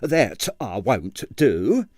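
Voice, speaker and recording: A man's voice: on the low side, sing-song, extremely slow, darkish tone, dry recording, clean studio sound, full character voice. A man voicing a prudish, respectable landlady who speaks in a firm, disapproving, clipped manner for a storybook. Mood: prim outrage.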